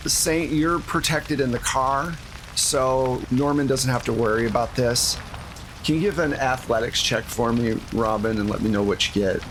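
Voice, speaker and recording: A man with a medium-pitched voice acts as game master, narrating a tabletop roleplaying game in a relaxed conversational style. A faint rain-like noise sits behind him. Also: normal speed, rough recording. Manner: casual, conversational.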